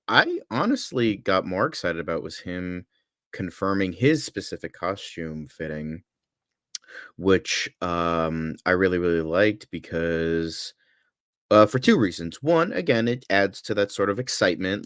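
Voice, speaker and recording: A man's voice: low-pitched, animated, unhurried, a bit bright, smooth, no room sound, studio quality. A man co-hosting a podcast, chatting like a fan in an enthusiastic, rambling way and repeating himself. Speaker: podcast co-host. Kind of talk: casual fan chat.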